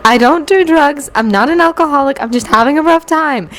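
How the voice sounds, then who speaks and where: Woman playing a plaintive, high-pitched young beggar. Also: a little fast, fairly bright tone. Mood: pleading. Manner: plaintive begging. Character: pleading young woman acting as a down-on-luck beggar.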